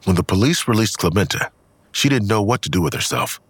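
Male narrator for scripted audio drama, very low register, animated melody, music behind. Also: fairly quick, no room sound. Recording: music clearly underneath.

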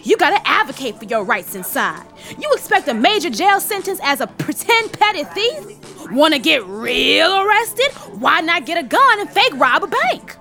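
A blunt, sarcastic female friend in a comedy audio drama. Her voice is very high and bright, swinging freely as she teases and scolds with brash scorn. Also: sing-song, normal speed, creaky, soft background music, exaggerated voice. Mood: scornful, exasperated.

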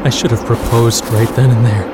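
An actor playing a stubborn, lovesick space traveller in an audio drama, with an emotional, halting delivery broken by sighs. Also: male voice, medium pitch, level tone, close to an everyday voice. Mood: regretful. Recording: loud music and effects behind.

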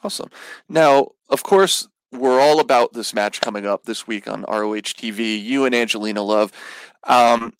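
A man's voice: medium pitch, moderate pace, smooth, no room sound, rough recording. A man hosting an interview show, asking long, upbeat questions with restarts. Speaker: podcast host.

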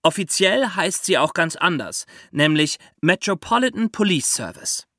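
A man's voice: sits high, sing-song, normal speed, sharp tone, dry recording, studio quality. A man hosting a podcast, explaining things conversationally and addressing young listeners directly. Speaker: podcast host.

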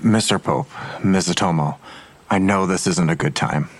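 A composed male detective in an audio drama. His voice is low and husky, and he talks with measured, persuasive courtesy. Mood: conciliatory. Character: calm, cordial, persuasive male police detective. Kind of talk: full-cast audio drama acting.

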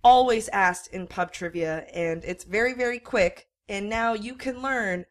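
A woman's voice: mid-range voice, a little slow. Woman hosting casual trivia. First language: Mandarin Chinese. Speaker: podcast co-host.

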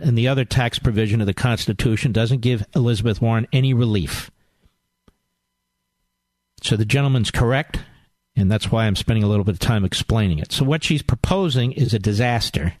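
A man's voice: medium pitch, no echo. Emphatic male radio talk host. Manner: emphatic, argumentative, lecturing.

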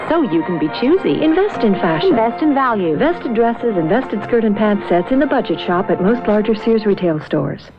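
A woman announcer reading a scripted retail commercial. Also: fairly high pitch. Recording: lo-fi vintage radio broadcast. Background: music.

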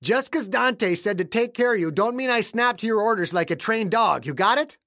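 A narrator voicing a gruff, scowling older caretaker with a folksy, surly manner. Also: mid-range voice, expressive, normal speed, fairly bright tone, no room sound, clean studio sound, pitched above the performer's own voice. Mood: hostile. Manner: cantankerous, folksy, tacks "eh" onto sentences.

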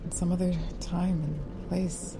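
An actress playing an earnest, bereaved daughter of an astronaut in an audio drama, speaking in a hesitant, sincere way. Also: female voice, fairly low pitch, fairly even intonation, a little slow, gravelly, background noise. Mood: wistful.

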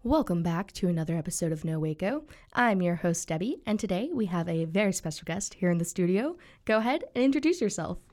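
A woman's voice: lowish voice, lively intonation, fast talker, few pauses, a little mellow. Enthusiastic woman hosting a podcast. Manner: enthusiastic, effusive. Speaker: podcast host. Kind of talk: casual interview chat.

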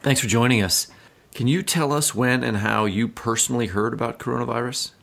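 A man's voice: mid-range voice, brisk, vocal fry, a bit bright. An American man co-hosting a podcast in a casual, conversational style. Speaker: podcast co-host.

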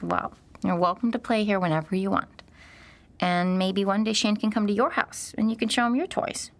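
A woman playing a kind but uneasy young boy's mother, speaking gently and hesitantly in an audio drama. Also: mid-range voice, normal speed, lightly acted. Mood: friendly, probing. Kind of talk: naturalistic audio drama acting.

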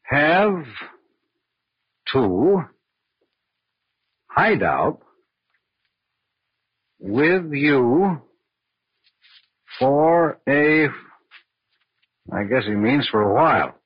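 Government agent, husky, slightly high voice, lively intonation, authoritative, old radio drama, muffled sound. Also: male voice, full character voice. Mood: intent, deducing. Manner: brisk, authoritative. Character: businesslike, decisive male FBI special agent. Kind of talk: 1940s radio crime drama acting.

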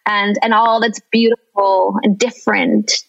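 A middle-aged woman raised between Venezuela and the United States, speaking as a guest in a conversational interview, animated and quick to laugh. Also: creaky. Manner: animated, laughs often, high bursts when quoting others. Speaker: guest, company founder and illustrator. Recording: slightly dull lo-fi audio.

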